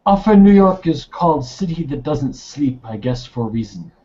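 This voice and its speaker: A man's voice: fairly high pitch, sing-song, moderate pace, mellow. A man speaking casually as a player in a tabletop roleplaying session, recorded with muffled lo-fi sound. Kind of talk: casual game talk and roleplay. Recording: dull, lo-fi voice recording.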